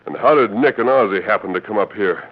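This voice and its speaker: A man acting the part of a calm, commanding masked lawman in a 1940s radio western. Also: fairly low pitch, fast, natural voice. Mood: calm, questioning. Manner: commanding, decisive. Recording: muffled, noisy 1940s radio recording.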